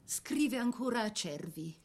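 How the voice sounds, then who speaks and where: A woman narrating a scripted biographical drama in a solemn, literary manner with measured phrasing. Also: on the high side, animated, darkish tone.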